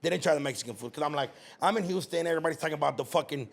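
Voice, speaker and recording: A young man from California hosts a loose podcast conversation, his voice sitting a bit high with a gravelly edge. He talks in animated bursts, teasing his guest and swearing freely. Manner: animated, swearing, quick to tease. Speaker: podcast host. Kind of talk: casual chat.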